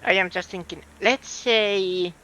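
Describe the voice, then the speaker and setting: A man's voice: sits high, steady tone, unhurried, sharp tone. A man with a Finnish accent, thinking aloud in a hesitant way as he comments on a game. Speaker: streamer. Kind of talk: casual gameplay commentary.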